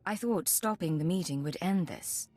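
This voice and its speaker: A woman's voice: lowish voice, moderate pace, darkish tone, natural voice. A woman playing a determined, self-possessed secret agent in a video game, firm, direct and controlled. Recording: music under the voice.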